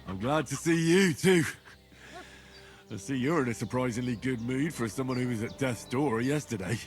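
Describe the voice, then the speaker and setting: A man's voice: medium pitch, normal speed, faint music behind, close to an everyday voice. An actor playing an earnest young man bent on avenging his parents in a video game, plain-spoken and serious. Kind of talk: video game cutscene dialogue.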